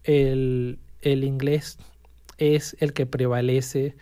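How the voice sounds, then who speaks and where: Mellow-voiced professor explaining things calmly. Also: mid-range voice, clean tone. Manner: measured, explanatory, frequent restarts. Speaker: university language professor, guest. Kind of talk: casual interview chat.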